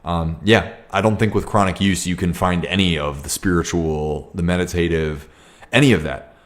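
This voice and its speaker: A young man hosting a casual livestream Q&A, talking reflectively and haltingly with many ums and restarts. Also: low voice, steady tone. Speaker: livestream host. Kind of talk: casual livestream Q&A, reading comments.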